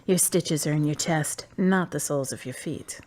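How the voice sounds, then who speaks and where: A woman's voice: sits low, moderate pace, a little mellow, natural voice. An actress playing a frank, playful divorced woman in a radio drama, talking in a teasing, direct way.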